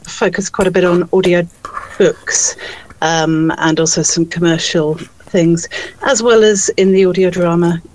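Low-pitched English woman, chatting casually. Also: steady tone, measured pace, a little mellow, no echo, rough recording. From London, England. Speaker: voice actor and audiobook narrator. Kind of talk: casual conversation.

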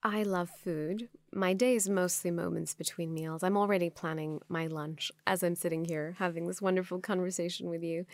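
A woman's voice: lowish voice, fairly even intonation, normal speed, hardly any pauses, dry recording. A woman from Pakistan whose first language is Urdu, a company founder answering interview questions in earnest, flowing strings of phrases.

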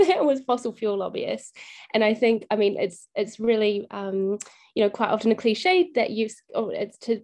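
Young female activist, earnest and halting, mid-pitched voice. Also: darkish tone. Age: young adult. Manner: passionate, halting with many fillers. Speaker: youth climate activist. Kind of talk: interview answers.

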